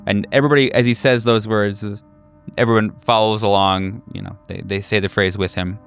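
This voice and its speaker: A man's voice: on the low side, steady tone, a little fast, vocal fry, clear, resonant tone. A man improvising narration as game master of a tabletop game, tentative and often correcting himself as he describes.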